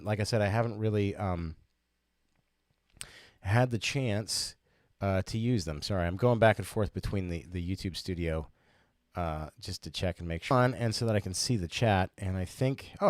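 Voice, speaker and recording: A man's voice: deep voice, darkish tone, dry recording, studio quality. A sniffly man hosting a casual livestream, rambling and chatty with lots of fillers and restarts. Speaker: livestream host.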